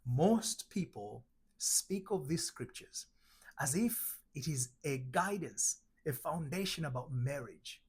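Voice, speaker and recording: A middle-aged man from Tanzania giving a spiritual teaching talk, slow and emphatic, asking rhetorical questions. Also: a little high, lively intonation, a little mellow, breathy edge. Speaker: identity transformation coach.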